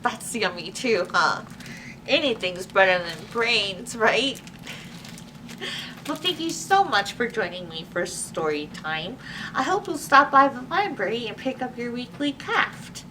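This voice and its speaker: A woman's voice: fairly high pitch, expressive, a little slow, sharp tone. A woman librarian hosting a children's story time, reading a picture book expressively with little asides to young listeners. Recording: distant mic with faint background noise.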